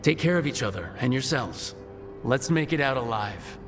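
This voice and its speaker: An actor playing a decisive, gruffly caring leader of adventurers in a fantasy video game. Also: male voice, medium pitch, lively intonation, moderate pace, over music, lightly acted. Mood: determined. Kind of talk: dramatic fantasy game dialogue.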